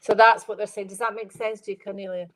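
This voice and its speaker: A middle-aged woman medium giving a live-stream spirit reading. She sounds stuffed up and speaks in a gentle, rambling way, repeating phrases and addressing viewers by name. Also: mid-range voice, little pitch movement, quick pace, slight background noise, low-quality recording. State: congested, stuffed-up nose.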